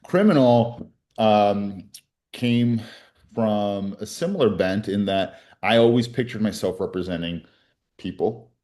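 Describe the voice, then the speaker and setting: Deep-voiced man telling his story. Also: animated, slow pace, dark tone. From Colombia. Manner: earnest, reflective, frequent restarts. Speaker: criminal defense attorney. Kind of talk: interview storytelling.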